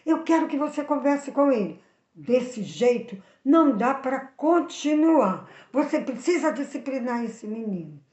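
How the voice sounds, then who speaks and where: A woman storyteller voicing an angry, worried mother who scolds her young son with loud, insistent questions. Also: sits high, expressive, a little slow. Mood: indignant, insistent. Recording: echoey room, slightly dull sound.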